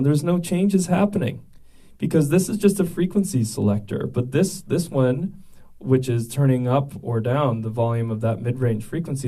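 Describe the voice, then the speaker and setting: Man explaining a mixing board, mellow tone. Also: medium pitch. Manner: explains step by step with many fillers and restarts. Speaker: instructor. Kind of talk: casual tutorial demonstration. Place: room with a mixing board and speaker.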